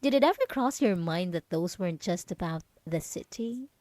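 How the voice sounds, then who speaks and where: A woman's voice: fairly low pitch, animated, normal speed, dark tone, no room sound, lightly acted. A woman playing a possessive, mocking yandere roommate in an ASMR roleplay, teasing and condescending as she lingers on her words. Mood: ominous. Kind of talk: dramatic ASMR roleplay monologue.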